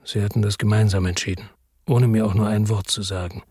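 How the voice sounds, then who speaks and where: World-weary crime narrator, low pitch, very raspy, introspective, grave, radio drama. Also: male voice, little pitch movement, fairly quick, dark tone, no echo, studio quality. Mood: grave, resigned. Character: brooding, world-weary male narrator-hero of a crime story.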